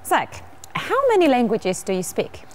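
Lively young woman with a Georgian accent. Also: a little high, normal speed. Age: young adult. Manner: cheerful, laughs often. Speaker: English teacher co-host. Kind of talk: bilingual televised lesson. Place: TV studio set.

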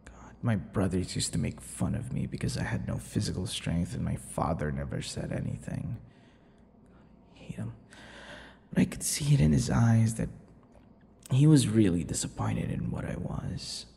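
Man acting a low, creaky, possessive vampire. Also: big pitch swings, moderate pace, dark tone, exaggerated voice. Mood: bitter, confiding. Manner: teasing, breathy, often dropping to whispers and chuckles. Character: possessive centuries-old male vampire, handsome, teasing, softly menacing. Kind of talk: intimate ASMR boyfriend roleplay. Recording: long reverberant echo.

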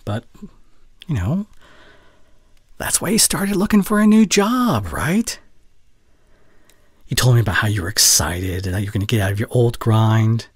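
A man playing a caring, worried boyfriend in an intimate audio roleplay, with a soft, breathy voice and tender, halting delivery close to the microphone. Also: medium pitch, no echo. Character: caring, worried young boyfriend, gentle and earnest. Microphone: close studio condenser microphone.

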